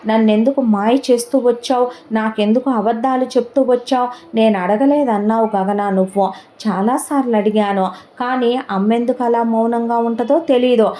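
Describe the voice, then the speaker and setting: A young man hammers out question after question, his voice raised and strained, as a woman storyteller takes him on. The pitch sits high and urgent, though the tone stays soft and mellow. He sounds desperate and hurt. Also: lightly acted. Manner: rapid anguished questioning. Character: young man demanding the truth about his father.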